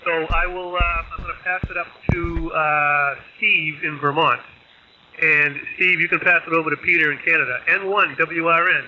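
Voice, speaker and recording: A sharp, very bright male voice, slightly high, comes over ham radio with faint static. He gives plain, polite operator chat. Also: fairly even intonation, normal speed, rough recording. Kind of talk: casual radio signal report. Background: faint radio noise.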